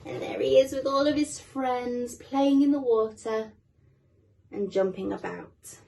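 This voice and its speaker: A woman guest reader giving an expressive children's storybook reading. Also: on the high side, measured pace, vocal fry, rough recording.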